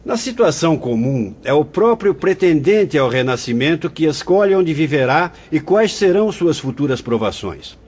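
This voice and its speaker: An actor playing a calm, fatherly spirit director who explains things solemnly, in a radio drama. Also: fairly high pitch, slightly rough. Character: venerable male spirit director, gentle and paternal. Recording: dull lo-fi audio.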